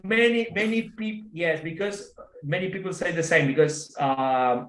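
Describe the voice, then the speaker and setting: A man's voice: fairly high pitch, moderate pace. A man from Argentina speaking English with an Argentine Spanish accent, chatting casually and searching for words with many fillers and restarts. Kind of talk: casual video call chat. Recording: echoey room.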